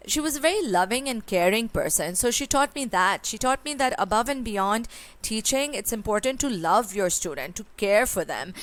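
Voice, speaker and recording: A woman's voice: fairly high pitch, a bit bright. A young woman, teaching speaking exam tips in a conversational way with many fillers. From Pakistan. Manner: conversational, with frequent fillers and rhetorical "right?". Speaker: IELTS speaking tutor. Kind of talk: exam tips lesson with sample answer.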